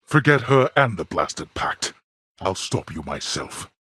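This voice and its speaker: An actor playing a grim, imperious god of the dead and stern father in a video game, with a husky, gravelly voice and formal, archaic, menacing delivery. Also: low voice, very animated, unhurried, a bit bright, no echo, clean studio sound, heavily acted. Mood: cold disdain. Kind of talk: theatrical video game villain acting.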